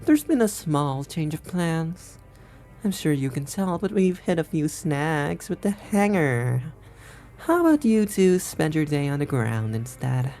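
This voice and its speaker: A sly visual novel schemer with a deep female register. The delivery is lively and smug, drawing words out teasingly, with music playing underneath. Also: measured pace. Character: sly, cocky turncoat schemer seeking escape. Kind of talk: visual novel game dialogue.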